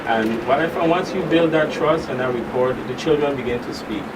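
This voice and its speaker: A man explains things as an expert guest in a conversational interview. He is deliberate and halting, often repeating himself for emphasis. He sounds away from the mic, over clear background noise. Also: medium pitch, recorded from a distance. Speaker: expert guest, school counselor.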